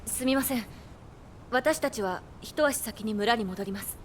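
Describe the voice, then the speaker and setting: A woman's voice: on the high side, measured pace, a little hiss or hum, close to an everyday voice. A woman voicing an earnest young female attendant in an anime game, speaking in a formal, courteous, explanatory way. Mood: concerned, subdued. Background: game music.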